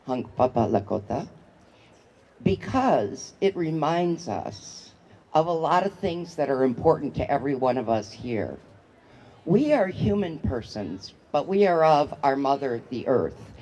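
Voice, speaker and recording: A woman human rights activist giving a slow, solemn rally speech into a microphone outdoors, building to shouted calls to the crowd. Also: sits low, low-quality recording. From United States. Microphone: public address microphone.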